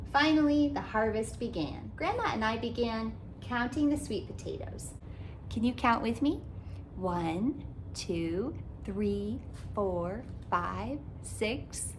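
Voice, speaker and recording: Expressive woman reading a children's storybook. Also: fairly high pitch, a little hiss or hum. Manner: deliberate, animated reading for young children. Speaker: children's author and read-aloud host.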